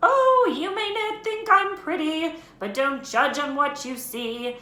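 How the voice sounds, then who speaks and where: An old, patched singing hat, as a woman puts it on, belting rhyming verses high and loud. The tone is bright and sharp. The room is roomy, with a faint hiss behind. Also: slow, heavily acted. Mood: sing-song. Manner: sings in rhyme, loud and lively.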